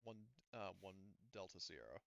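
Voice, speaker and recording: Clear-voiced man running a tabletop game. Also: deep voice, very animated, fairly bright tone, no room sound, clean studio sound. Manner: halting, thinking aloud while explaining rules. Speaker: game master. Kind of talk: casual tabletop game refereeing.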